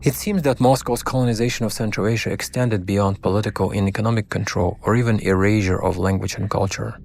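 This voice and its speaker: A man in his forties from Kazakhstan, a native Russian speaker, reading a personal essay in a measured, formal, articulate manner. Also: fairly low pitch, steady tone, vocal fry, a little mellow, a little hiss or hum. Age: 40s. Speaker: academic guest. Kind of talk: scripted personal essay, read aloud.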